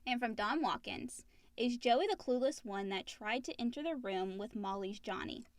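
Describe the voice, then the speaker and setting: Woman, fairly high voice, casual co-host, reading fan questions, dry sound. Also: expressive, normal speed, no room sound.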